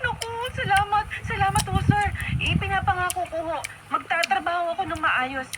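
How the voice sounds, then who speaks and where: A woman's voice: extremely high pitch, steady tone, normal speed. A woman acting in a Filipino radio drama as an earnest, respectful young housemaid and devoted daughter, on a very noisy recording. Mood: eager, grateful.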